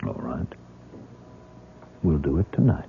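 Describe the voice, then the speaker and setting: An actor playing a suave, secretly sinister professor of the occult in a 1970s radio drama, speaking in a measured, conspiratorial way. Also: male voice, extremely low pitch, sing-song, vocal fry, heavy background noise, full character voice. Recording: muffled vintage 1970s radio recording.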